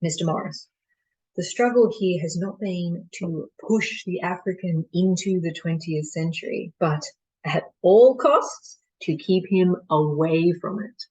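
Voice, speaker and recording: A woman performing a stage monologue as a disillusioned colonial mission doctor, bitterly candid and deliberate, with dramatic pauses. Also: on the low side, darkish tone, lightly acted, low-quality recording. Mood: bitterly ironic.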